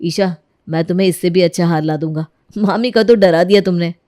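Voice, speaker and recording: A woman's voice: on the low side, a little fast, mellow, no echo, studio quality, lightly acted. A woman voicing an easygoing young husband who speaks reassuringly in an acted storybook tale. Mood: teasing reassurance. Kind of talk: acted Hindi storybook narration.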